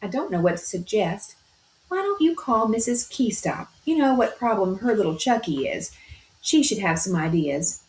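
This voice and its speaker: A woman's voice: mid-range voice, big pitch swings, moderate pace, a little mellow. A woman voicing a sympathetic, chatty neighbor woman, read aloud from a storybook. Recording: roomy echo.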